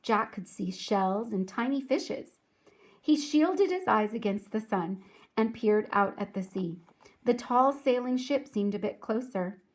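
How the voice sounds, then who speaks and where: Woman, children's read-aloud, deliberate delivery, teacher addressing young listeners, medium pitch. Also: animated.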